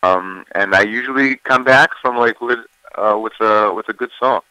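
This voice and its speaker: A man, a singer, talking casually about his album in an interview, with a deep voice and plenty of fillers and restarts. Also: normal speed, clear, resonant tone, dry recording, low-quality recording. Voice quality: deeper voice. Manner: relaxed, many fillers and restarts.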